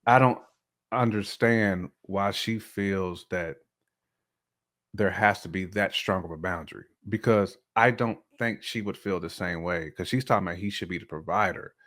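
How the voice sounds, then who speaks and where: Low-pitched man in his forties, talking casually. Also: normal speed, a bit bright. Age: 40s. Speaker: talk show co-host. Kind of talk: casual opinionated discussion.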